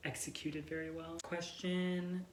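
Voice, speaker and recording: A woman's voice: fairly low pitch, level tone, measured pace. A young woman chatting casually and informally about books, hesitating and leaning on filler words as she goes. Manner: informal, hesitant, heavy use of "like". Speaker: book reviewer co-hosting a book tag chat. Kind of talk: casual chat. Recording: roomy echo, some background noise.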